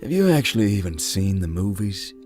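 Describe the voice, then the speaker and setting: A man playing a giant, easygoing, wry explorer in a visual novel, talking in a folksy, deadpan way. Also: deep voice, fairly quick. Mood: wry, cautious. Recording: music behind the voice.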